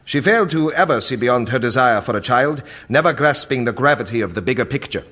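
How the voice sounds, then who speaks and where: A man acting in an audio drama as a cold, efficient project director, delivering a briefing in a precise, deliberate way. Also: mid-range voice, expressive, fairly bright tone. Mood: grave, detached.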